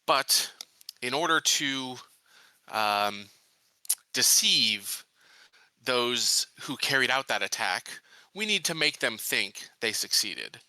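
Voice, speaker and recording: A man voicing an earnest, secretive colony marshal in a halting, deliberate and persuasive manner, in a tabletop game. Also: mid-range voice, expressive, bright, forceful tone, dry recording, full character voice, rough recording. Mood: grave persuasion.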